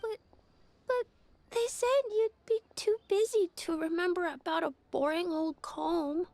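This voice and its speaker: A woman's voice: very high-pitched, measured pace, creaky, a little mellow, heavily acted. A woman voicing a sweet, timid little girl in a video game, hesitant and apologetic. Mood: guilty, apologetic.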